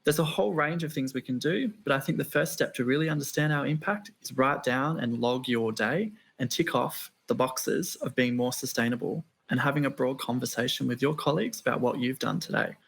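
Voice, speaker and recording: A man speaking as a panelist, explaining points in a steady, list-like way. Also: medium pitch, a little fast, vocal fry, hardly any pauses, breathy edge. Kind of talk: panel discussion answers.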